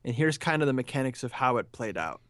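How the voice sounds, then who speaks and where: A man's voice: medium pitch, sing-song, quick pace, fairly bright tone, no room sound. A man in his thirties co-hosting a podcast, talking through films in a thoughtful, hedging way and asking probing questions. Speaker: podcast co-host. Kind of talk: casual film discussion.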